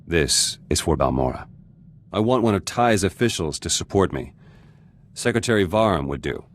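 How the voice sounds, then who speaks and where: An actor playing a gruff, guarded revolutionary turned politician in a video game, speaking in blunt, clipped fragments. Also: male voice, deep voice, lively intonation, moderate pace. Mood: calculating, dry. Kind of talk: video game character dialogue.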